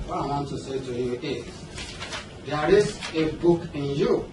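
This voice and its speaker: A man's voice: on the high side, measured pace. A man with a Nigerian accent giving a motivational seminar talk as a business coach, conversational and often checking in with questions. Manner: conversational, engaging the audience with questions and check-ins. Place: indoor seminar room with an audience. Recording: roomy, noisy seminar hall, distant mic.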